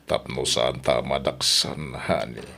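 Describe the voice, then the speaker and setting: Man playing a slow, deep-voiced doting sugar daddy. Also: fairly even intonation, gravelly, sharp tone, slight background noise, exaggerated voice. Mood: businesslike, accommodating. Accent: Filipino. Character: doting, secretive rich married man with a mistress. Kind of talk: audio drama dialogue.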